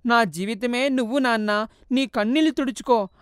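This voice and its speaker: A woman voicing a poor, loving mother who speaks softly and tenderly as a ghost. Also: a little high, normal speed, clear, resonant tone, no room sound, clean studio sound, voice pushed up, close to an everyday voice. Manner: soft, tender, tearful.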